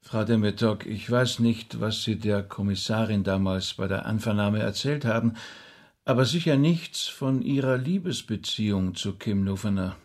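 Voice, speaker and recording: An actor playing an earnest, insistent rabbi turned sleuth in a radio play, speaking politely but hesitantly and probingly. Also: male voice, on the low side, normal speed. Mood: grave, probing. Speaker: actor in a radio crime drama. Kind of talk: naturalistic radio play dialogue.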